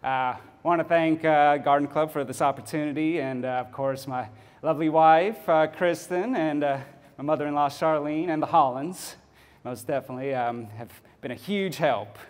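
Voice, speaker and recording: A man in his thirties from Texas with a Texan accent, giving a personal testimony speech to a club, halting with many ums and uhs. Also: a little high. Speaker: guest speaker. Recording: hall reverb.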